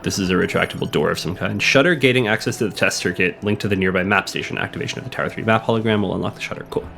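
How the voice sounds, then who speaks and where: A man with a cold, hoarse and a little sniffly, chatting casually while playing a video game, thinking aloud and reading on-screen text. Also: low voice, rapid-fire, a bit bright. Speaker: let's play host. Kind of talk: casual gameplay commentary. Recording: game music playing behind the voice.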